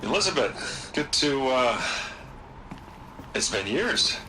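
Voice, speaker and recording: An actor playing an uneasy, placating corporate executive in a video game, hesitant and ingratiating. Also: male voice, mid-range voice, sing-song, slow pace. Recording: voice amid clear noise.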